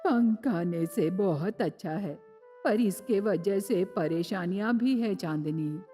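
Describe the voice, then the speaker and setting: Cartoon grandmother played by a woman: elderly, dreamy villager, unhurried speech, heavy reverb over soft music. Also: medium pitch, voice pushed down, close to an everyday voice. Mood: troubled.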